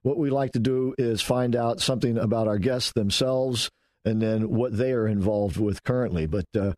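A man hosting a talk show, questioning a guest in a relaxed, conversational way and sometimes stumbling over his words. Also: mid-range voice, normal speed. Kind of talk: radio talk show interview.

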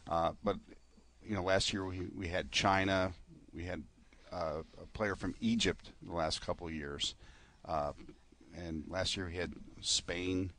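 Man with a very deep voice. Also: fairly even intonation, unhurried, fairly bright tone. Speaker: tournament director. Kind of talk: interview answers.

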